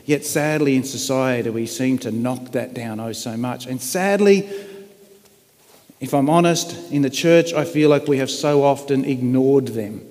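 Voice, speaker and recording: A man's voice: mid-range voice, a little mellow. A man preaching a sermon in an earnest, reflective, plain-spoken way. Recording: long hall reverb.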